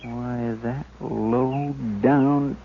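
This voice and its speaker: An actor playing a loyal, earnest frontier deputy in a radio western, with a folksy, deferential way of talking. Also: male voice, medium pitch, fairly even intonation, drawn-out words. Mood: indignant, eager. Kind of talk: 1950s radio western acting. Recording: muffled, noisy old radio recording.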